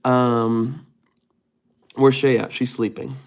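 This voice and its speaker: A man's voice: mid-range voice, level tone, slow pace. A man hosting a casual livestream, reading viewer comments aloud and answering them in a rambling way with many restarts. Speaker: livestream host reading chat.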